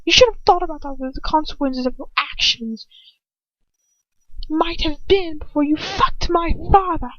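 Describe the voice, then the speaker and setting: A woman's voice: sits high, measured pace, fairly bright tone, no echo, highs cut off, pitched above the performer's own voice. A woman voicing a bitter, venomous young man who sneers scornfully in a fan story. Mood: bitterly angry.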